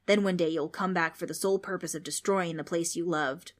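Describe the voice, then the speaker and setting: A woman reading aloud, voicing a loud, scornful male ghost who mocks children. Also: lowish voice, fairly even intonation, brisk, close to an everyday voice. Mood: mocking. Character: bossy male ghost, gruff and mocking.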